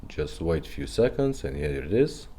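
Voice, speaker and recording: A man's voice: rumbling low, big pitch swings, moderate pace, dark tone, breathy edge. A man giving a casual, step-by-step product demonstration in an instructional way. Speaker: presenter demonstrating retrofitted car radios.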